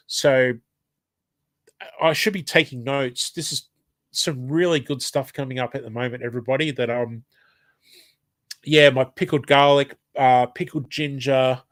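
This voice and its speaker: A man's voice: mid-range voice, no echo. An Australian man with hay fever and a blocked nose, casually reading a live stream chat aloud, hesitant and apologetic, with lots of ums.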